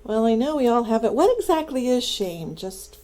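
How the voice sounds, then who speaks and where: A woman's voice: fairly high pitch, very animated, moderate pace, dark tone. A middle-aged American woman hosting a conversational radio interview. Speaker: radio host, astrologer.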